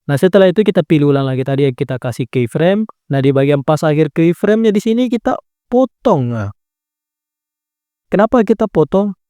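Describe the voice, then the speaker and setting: A man's voice: a little high, a little fast, dry recording. A man walking through a phone video edit step by step, correcting himself as he goes. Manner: calm instructing with frequent "nah" and self-corrections. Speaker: video editing tutor. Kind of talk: step-by-step screen tutorial.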